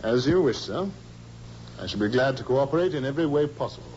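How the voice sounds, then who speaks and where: An actor playing a polite, cooperative, slightly vague widower under suspicion in an old-time radio drama. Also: male voice, mid-range voice, lively intonation, quick pace, loud music behind the voice, close to an everyday voice. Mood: anxious, compliant. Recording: muffled, noisy old radio recording.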